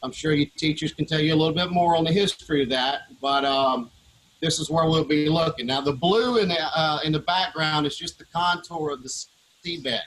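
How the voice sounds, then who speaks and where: Give me a relaxed man with a Southern US accent, explaining things to kids in a slightly high voice. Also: moderate pace, rough recording. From Louisiana, USA. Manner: relaxed, many filler uhs and ums. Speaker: underwater vehicle team leader. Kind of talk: casual explanation to schoolchildren. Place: operations room on a ship.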